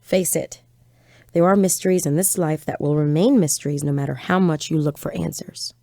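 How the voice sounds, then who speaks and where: A woman's voice: deep voice, normal speed, vocal fry, background noise. An actress playing a haunted lone woman driver, narrating an eerie mystery in dramatic first person. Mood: haunted, resigned.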